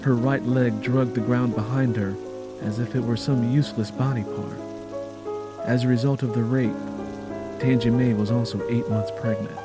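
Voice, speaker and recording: A man narrating a scripted story dramatically, in a solemn and deliberate manner. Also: medium pitch, fairly even intonation, over loud music.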